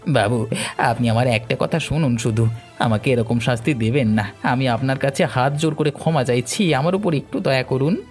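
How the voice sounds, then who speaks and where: A pleading, cowardly village bully from a cartoon, voiced by a man. His pitch is average for a man, and faint music plays behind him. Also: moderate pace, close to an everyday voice. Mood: begging. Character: cowardly, lying village bully begging for mercy. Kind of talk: cartoon voice acting in Bengali.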